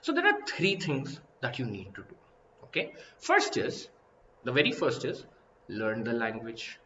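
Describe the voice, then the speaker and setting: A young man with an Indian English accent teaches an IELTS lesson live, animated, asking "right?" and "okay?" again and again. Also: medium pitch, measured pace. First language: Hindi. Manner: animated, repeats and rephrases, asks "right?" and "okay?" often. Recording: echoey room, slightly dull sound, faint hiss.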